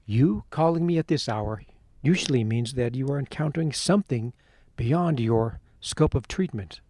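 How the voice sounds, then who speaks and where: A man playing a measured, formal priest in an audio horror drama. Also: mid-range voice, animated, warm tone, dry recording, close to an everyday voice. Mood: knowing.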